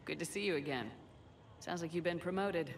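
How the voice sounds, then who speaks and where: A woman playing a steady, direct human military commander in a video game, asking questions in a businesslike way. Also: on the low side, brisk, lightly acted. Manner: businesslike, probing. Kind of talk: video game cutscene acting. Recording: slightly distant, faintly noisy game capture.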